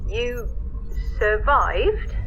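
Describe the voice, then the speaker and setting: A woman's voice: a little high, very animated, extremely slow, highs cut off, music in the background, exaggerated voice. A woman playing an imperious, exasperated galactic empress in a sci-fi comedy radio drama, with a withering manner. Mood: disdainful.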